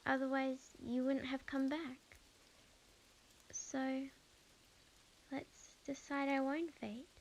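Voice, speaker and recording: A woman with an Australian accent playing a young lovestruck witch in a soft, intimate ASMR romance roleplay, earnest and hesitant, sometimes near a whisper. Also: high voice, poor audio. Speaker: ASMR audio roleplay performer.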